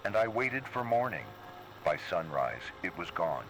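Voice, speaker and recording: A man with a very low voice tells a scripted horror story in the first person. Background noise runs under the narration. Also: big pitch swings, moderate pace.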